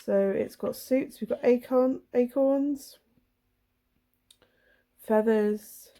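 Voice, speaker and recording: A woman with laryngitis, her voice hoarse, husky and throaty, chatting casually and apologetically as she flips through a tarot deck for her channel. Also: on the high side, slow, dark tone.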